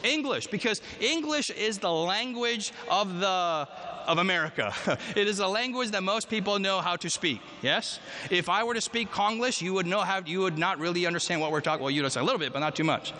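Bright high-voiced male preacher in a hall. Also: fairly quick. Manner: emphatic, quizzes the congregation. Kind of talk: sermon with scripture reading. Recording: long hall reverb, faint noise.

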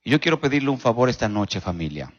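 A man with a Dominican accent preaching a sermon as a pastor, telling stories emphatically with rhetorical questions and dramatic pauses. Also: mid-range voice, sing-song, slightly rough. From Dominican Republic. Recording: dull recording in a reverberant hall.

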